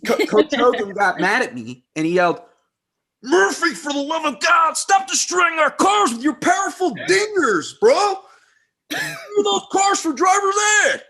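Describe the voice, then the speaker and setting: A man playing an awkward, earnest teenage brother in a sitcom table read, stammering and halting. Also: very high-pitched, very animated, pushed and bright, raised voice, exaggerated voice. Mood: mock shouting.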